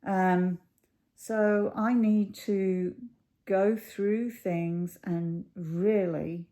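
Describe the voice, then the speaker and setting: A middle-aged woman with a croaky voice, chatting casually to camera at home, rambling with many ums and pauses. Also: mid-range voice, steady tone, mellow. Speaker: cross stitch YouTuber.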